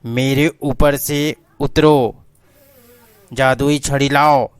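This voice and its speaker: A man's voice: mid-range voice, fairly even intonation, drawn-out words, clean tone, no room sound. A man voicing a scheming talking dog in a cartoon story, speaking in a plain, bossy way.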